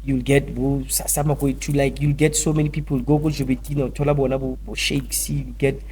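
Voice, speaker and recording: A man's voice: mid-range voice, flat delivery, fairly quick, dark tone. A man with a South African accent talking casually as an interview guest, repeating phrases and mixing in a local language. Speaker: fitness trainer, interview guest. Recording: noticeable background noise and ambience.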